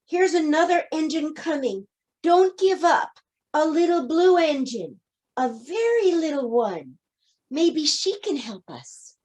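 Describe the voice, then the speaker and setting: An eager little toy clown from a children's storybook, as a woman reader puts him on. He calls out in a high voice, excitable and encouraging, urging his friends on. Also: slow pace, full character voice. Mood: excited, hopeful. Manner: bright exclaiming, eager calls.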